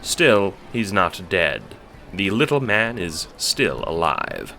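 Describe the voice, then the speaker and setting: Male narrator, low pitch, animated intonation, wry, dramatic, scripted audio drama storytelling. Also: slow pace, vocal fry, slight background noise, poor audio.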